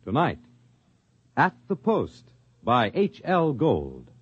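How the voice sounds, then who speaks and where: A man announcing a radio science-fiction show in a grave, measured, declaiming delivery. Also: mid-range voice, huge pitch swings, very noisy. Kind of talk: dramatic show opening announcement. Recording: dull 1950s radio broadcast with music.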